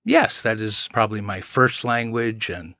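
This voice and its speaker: A man's voice: fairly low pitch, unhurried, bright, forceful tone, no echo. A man, a podcast guest telling anecdotes in an earnest, self-deprecating way. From Canada. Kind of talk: casual chat, storytelling.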